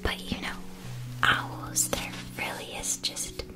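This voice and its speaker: Casting: woman as gentle witch who sells magical familiars, ASMR roleplay, fully whispered delivery, very slow and attentive. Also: loud music behind the voice, close to an everyday voice. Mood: gentle, earnest. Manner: soft, hesitant, attentive, unhurried. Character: gentle, earnest female witch selling magical animal familiars.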